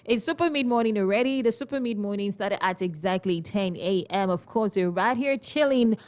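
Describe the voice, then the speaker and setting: A woman's voice: medium pitch, normal speed, darkish tone. Playful Nigerian woman radio host. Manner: playful and chatty, laughs often, asks listeners questions. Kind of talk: casual radio talk show chat. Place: radio studio. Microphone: studio microphone.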